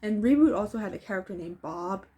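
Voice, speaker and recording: A woman with a soft, mellow tone and a middle-pitched voice reads a children's storybook aloud. She delivers it as rhyming narration and slips into casual, chatty asides. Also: lively intonation, fast, slight background noise. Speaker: storybook reader.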